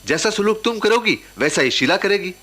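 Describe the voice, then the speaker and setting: A middle-aged husband in a radio drama, kindly and protective but exasperated. His bright, forceful voice moves up and down freely as he reasons and protests. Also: high voice, animated, moderate pace, exaggerated voice. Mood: firm, reproachful. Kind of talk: Hindi radio play acting.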